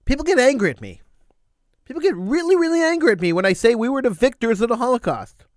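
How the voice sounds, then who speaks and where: A man's voice: very high voice, expressive, fast talker, no echo, clean studio sound. A middle-aged man hosting a talk radio show, speaking emphatically and repeating phrases for stress, with a slight cold wearing on his voice. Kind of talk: impassioned talk radio monologue.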